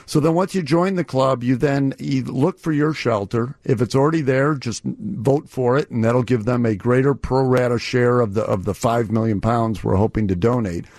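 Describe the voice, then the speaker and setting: A man's voice: mid-range voice, normal speed, hardly any pauses, a little mellow, dry recording. A man speaking as a company guest in a promotional radio interview, explaining things step by step.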